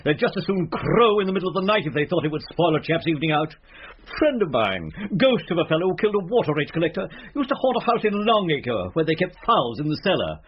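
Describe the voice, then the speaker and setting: Elderly ghost, British, proud and pompous murderer, highly animated delivery, comic radio drama, slightly noisy lo-fi sound. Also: male voice, fast talker. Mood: grumbling. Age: older. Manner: pompous, boastful, old-fashioned British turn of phrase. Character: elderly male ghost, proud unrepentant murderer, grumbling yet courteous. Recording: muffled lo-fi audio with faint noise.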